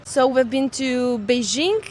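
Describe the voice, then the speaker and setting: A woman's voice: high-pitched, a little mellow. A woman from Italy, a tourist, answering thoughtfully. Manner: thoughtful and measured. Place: city street outdoors.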